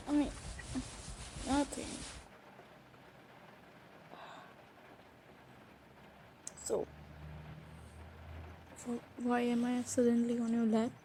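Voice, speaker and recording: A woman's voice: on the high side, warm tone, slight background noise. A woman playing a shy, flustered young woman in an improvised intimate romantic audio roleplay, stammering and hesitating as she talks. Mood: flustered, defensive. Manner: hesitant, stammering, breaking off and repeating words.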